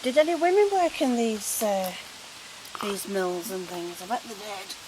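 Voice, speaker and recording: A woman's voice: medium pitch, measured pace. A woman on an outdoor ghost hunt, asking spirits curious questions in a chatty manner. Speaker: paranormal investigator. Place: outdoors at a woodland waterfall site. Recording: hissy outdoor recording.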